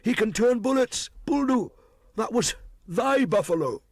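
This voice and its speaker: A man voicing an angry, superstitious mob of villagers, shouting accusations in a storybook reading. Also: high-pitched, animated, unhurried, no room sound, pitched above the performer's own voice, full character voice. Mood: alarmed, accusing.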